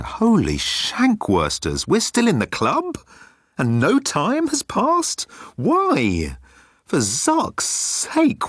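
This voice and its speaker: A man's voice: fairly low pitch, fairly bright tone, breathy edge, dry recording, studio quality, pitched above the performer's own voice, full character voice. A man voicing a cocky, breezy male alien hitchhiker in an audiobook, with a jaunty and slangy delivery.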